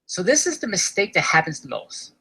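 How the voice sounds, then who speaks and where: A man with an Argentine accent giving an upbeat webinar sales pitch for his online course, often tagging his sentences with "right?". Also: wildly swooping pitch, fairly quick, gravelly, sharp tone, slightly rough. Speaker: course seller presenting a webinar.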